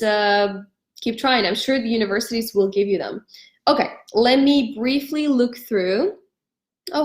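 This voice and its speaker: A young woman from Ukraine hosting a live stream, giving friendly advice with many pauses, fillers and restarts. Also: a little high, echoey room. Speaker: host of a live stream on studying abroad.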